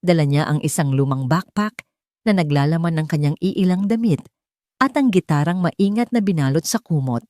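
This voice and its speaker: A woman's voice: sits low, normal speed, darkish tone, dry recording. A woman reading a scripted dramatic audiobook as the story's narrator.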